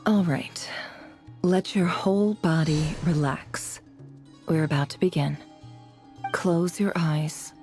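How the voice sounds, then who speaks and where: Mellow, composed businesswoman. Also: low voice, steady tone, faint music behind. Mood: soothing. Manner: composed, measured, deliberate. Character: poised, confident businesswoman leading a team, coolly wry. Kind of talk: video game character voice acting.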